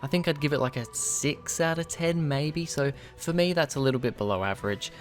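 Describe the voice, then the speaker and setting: A man's voice: a little high, fairly quick, soft background music. A young man from West Yorkshire casually reviewing and ranking episodes, with frequent ums and restarts. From West Yorkshire, England. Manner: chatty, lots of ums and restarts. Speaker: video host ranking episodes.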